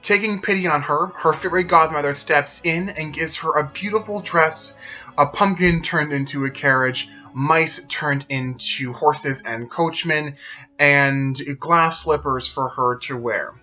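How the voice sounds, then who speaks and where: Male presenter, slightly high voice, steady scripted narration, soft music under it. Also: a little slow, muffled recording. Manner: steady explanatory retelling. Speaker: video presenter.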